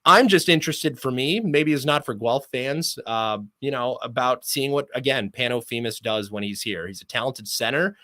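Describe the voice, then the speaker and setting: A man's voice: mid-range voice, normal speed, hardly any pauses, bright, forceful tone, no room sound. A man speaking as a sports broadcaster guest in an interview, enthusiastic and wordy.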